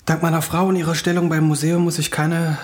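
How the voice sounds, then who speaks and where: An actor playing a touchy, self-regarding young playwright in a naturalistic radio play, dry and sardonic. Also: male voice, fairly high pitch, little pitch movement, fairly quick, natural voice. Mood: dry, guarded.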